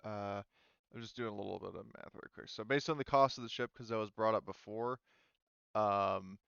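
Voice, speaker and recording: A man's voice: fairly low pitch, normal speed, gravelly, a bit bright, clean tone, dry recording. A man running an online tabletop roleplaying game as game master, hesitant and thinking aloud as he goes. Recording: slightly dull, lo-fi voice-chat audio.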